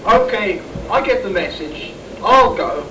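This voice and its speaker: A man's voice: sits high, animated, unhurried. A man playing a resigned business partner sent abroad in an amateur Christmas pantomime. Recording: noisy echoey distant stage recording.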